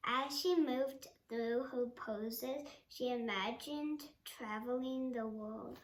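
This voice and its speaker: High-pitched halting storybook reader, female. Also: fairly even intonation, distant mic. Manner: halting, sounding out words, acts out quotes. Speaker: read-along reader. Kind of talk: storybook read-aloud. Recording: roomy sound with faint background noise.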